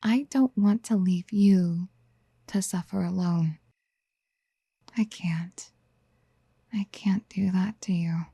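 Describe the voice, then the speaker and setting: Woman playing a clingy motherly type for asmr roleplay, soft breathy half whispers, slow, close dry sound, soothing and doting. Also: on the low side, no room sound, full character voice. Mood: gentle encouragement. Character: doting, possessive motherly woman comforting someone younger.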